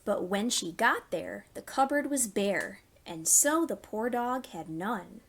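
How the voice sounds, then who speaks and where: A woman hosting a storytime for young children, reading stories and giving simple, clearly phrased instructions. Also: mid-range voice, expressive, slow pace, fairly bright tone. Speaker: children's library storytime host.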